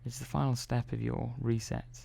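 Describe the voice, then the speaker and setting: A man playing a calm, gravelly robot engineer. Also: mid-range voice. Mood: reassuring. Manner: soft-spoken, slow and hesitant, many pauses and "well"s. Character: calm male robot engineer, methodical and secretive. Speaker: ASMR roleplay performer. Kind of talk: ASMR roleplay.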